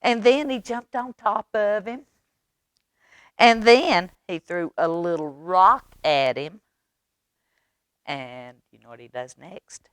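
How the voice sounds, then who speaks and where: A woman reading a children's story aloud expressively, with dramatic pauses and coaxing questions to young listeners. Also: medium pitch, smooth, dry recording, clean studio sound. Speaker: library storytime reader.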